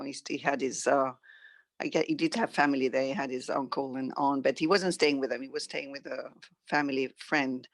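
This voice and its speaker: Middle-aged french lady chatting as a guest, thinks as she goes, halting, really dry recording. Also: low-pitched, dry recording. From France. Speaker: interview guest. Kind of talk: reflective conversational storytelling.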